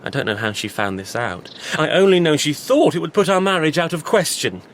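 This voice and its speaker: A man's voice: on the high side, sing-song, slight background noise. A man playing an eager, flustered young Victorian suitor. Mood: indignant. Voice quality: halting and eager, many hesitations, breaking into nervous laughs. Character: earnest young Victorian man seeking his lost fiancée. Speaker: actor in a radio drama. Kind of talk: Victorian radio drama acting.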